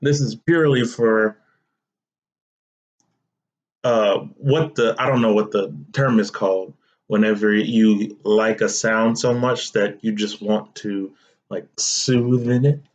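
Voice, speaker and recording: Young male podcast host, relaxed and chatty, slow delivery, slightly muffled audio. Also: on the low side. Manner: relaxed, conversational, asks listeners directly. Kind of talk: casual solo podcast talk.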